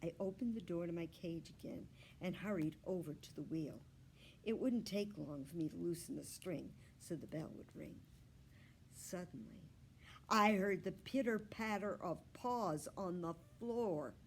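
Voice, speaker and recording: A woman storyteller reading a children's book aloud with expressive, deliberate narration. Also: fairly low pitch, a little hiss or hum.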